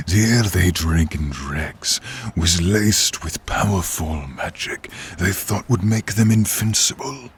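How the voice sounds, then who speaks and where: Menacing tyrant king, extremely low pitch, forceful edge, gravelly half-whisper, theatrical, taunting, fantasy audio drama. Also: exaggerated voice. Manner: slow, theatrical, taunting delivery. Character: menacing peasant-born tyrant king, dark sorcerer, bitter and grandiose. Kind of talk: fantasy audio roleplay acting.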